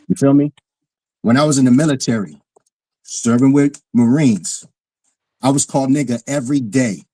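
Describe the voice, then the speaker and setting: A man's voice: mid-range voice, moderate pace, dark tone, no echo, poor audio. A man from the South Bronx with a New York accent, speaking bluntly and emphatically as a caller in a casual debate, repeating his phrases. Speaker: caller, military veteran. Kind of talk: casual heated discussion.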